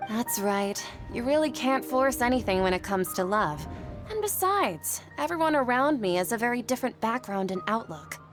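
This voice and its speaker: Woman playing a polite young game character, earnest and unsure, highish voice, music behind. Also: animated, moderate pace, close to an everyday voice. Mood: puzzled. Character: earnest, self-doubting young woman seeking guidance. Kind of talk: video game character acting.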